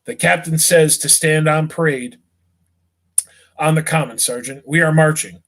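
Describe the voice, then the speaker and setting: A man's voice: on the high side, steady tone, measured pace, fairly bright tone. A man reading the lines of a dutiful British soldier delivering orders, in a matter-of-fact way. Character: plain male redcoat messenger, dutiful.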